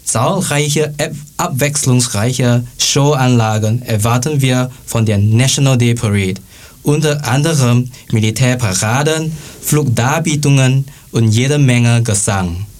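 A man's voice: mid-range voice, unhurried, a little mellow. A man reading a scripted piece in learner German, stumbling over some words.

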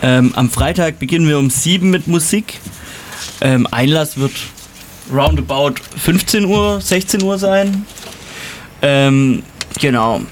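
A man's voice: a little high, takes it slowly. Male radio guest, hesitant delivery, full of fillers, slight noise. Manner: hesitant, many fillers, stumbles over names. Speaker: festival organizer guest. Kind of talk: reading out a program on radio.

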